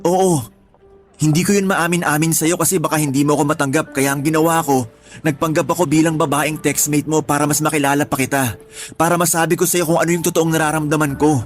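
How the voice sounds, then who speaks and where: Young Filipino male played by an actor, fairly high voice, earnest, apologetic, lovesick, radio drama with soft music underneath. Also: fairly even intonation, quick pace, lightly acted. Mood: apologetic, pleading. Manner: earnest, pleading. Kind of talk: Tagalog radio drama acting.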